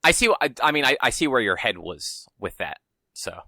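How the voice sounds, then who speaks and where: A man's voice: medium pitch, sing-song, fairly quick, gravelly, pushed and bright, dry recording, studio quality. A man co-hosting a podcast, talking in a laid-back, rambling way and swearing casually. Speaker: podcast co-host. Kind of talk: casual chat.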